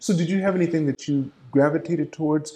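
Un homme au débit rapide qui relance. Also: male voice, a little high, fairly even intonation, mellow. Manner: prompting, finishes the other's sentences. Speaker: interviewer. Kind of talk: casual interview.

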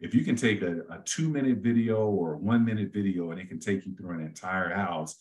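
A middle-aged man, a real estate agent, talking at length and easygoing in a casual interview, with a deep voice he says suits radio. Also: a little fast, a little mellow. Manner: easygoing, talks at length with many fillers and restarts.

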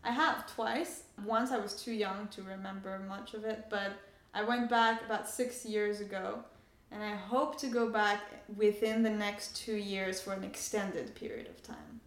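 A young woman, a native Farsi speaker who grew up in Canada and France, talks in a roomy, echoing space. She explains herself conversationally and articulately as an interviewee, at a medium pitch. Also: steady tone, unhurried. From Canada and France, Iranian family. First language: Persian (Farsi). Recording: echoey room.

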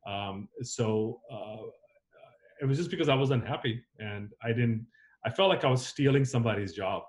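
A man's voice: medium pitch, moderate pace. A man in his thirties, born in Zambia and raised on the US East Coast, talking reflectively as an interview guest, with many fillers and restarts. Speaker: filmmaker guest. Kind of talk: casual interview conversation.

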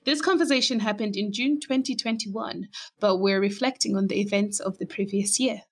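Malawian woman co-host, measured delivery. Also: mid-range voice, husky. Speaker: podcast co-host. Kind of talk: scripted podcast intro. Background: faint music.

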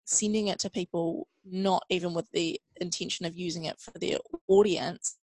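Lower-pitched woman, casual and explanatory. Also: fairly even intonation, normal speed, darkish tone, no room sound, poor audio. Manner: conversational, explanatory. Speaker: social media expert guest. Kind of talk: casual interview answers.

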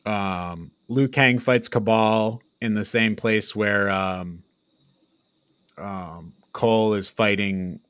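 Low-pitched man, dry close sound, casual. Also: steady tone, takes it slowly, a bit bright, clean tone, dry recording. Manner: informal, swears casually. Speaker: podcast co-host. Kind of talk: casual film review chat.

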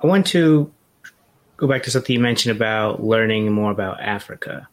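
A man hosting a casual podcast interview, his voice sitting a little low. He talks loosely, with fillers and restarts. Faint music plays underneath. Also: a little fast. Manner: relaxed, conversational with filler words. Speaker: podcast host.

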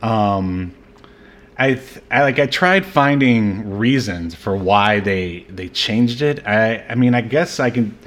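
Casual male podcast host, halting delivery. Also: on the low side, animated, fairly bright tone. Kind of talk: casual film discussion podcast.

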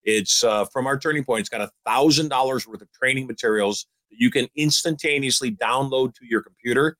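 A man's voice: mid-range voice, brisk, fairly bright tone, dry recording. A man in his sixties giving upbeat, emphatic advice as a show host. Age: 60s. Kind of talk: motivational call-in advice.

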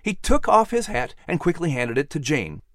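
A man narrating a scripted children's story as announcer, with a theatrical, expressive delivery. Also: a little high, moderate pace, no echo, studio quality.

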